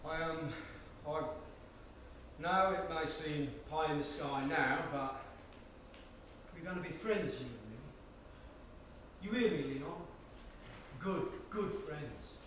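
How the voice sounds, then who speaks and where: A man's voice: fairly high pitch, slow, long pauses, fairly bright tone. A man acting an older, coaxing Cockney ex-soldier in a stage play, full of jokey slang and fatherly fussing. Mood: tender. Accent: London Cockney. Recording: echoey room, distant mic, faint hiss.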